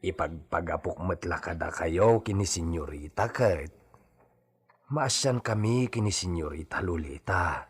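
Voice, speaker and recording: Man as a deep, husky humble servant. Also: a little slow, full character voice. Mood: worried, deferential. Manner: deferential, pleading. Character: humble, worried male servant speaking to mistress. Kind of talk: Filipino audio drama acting.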